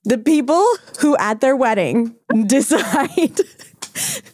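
Animated, high-voiced woman podcast host. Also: slow pace, dry recording. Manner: blunt, animated, frequent swearing and teasing. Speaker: pop culture podcast host. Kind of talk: casual banter between friends.

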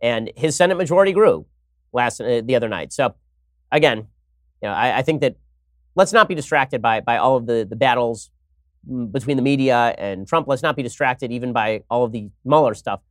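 A man's voice: medium pitch, expressive, fairly quick, no echo. Sarcastic male political commentator, dry sound. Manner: sarcastic, wry. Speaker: host.

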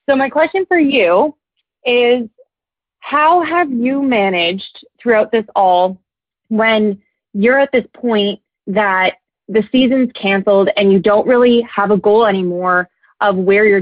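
A woman's voice: mid-range voice, no room sound. A dry, slightly muffled voicemail recording of a young woman calling in with a question. She speaks casually and personally, in an earnest, appreciative way. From Canada. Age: young adult. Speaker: caller leaving a voicemail question.

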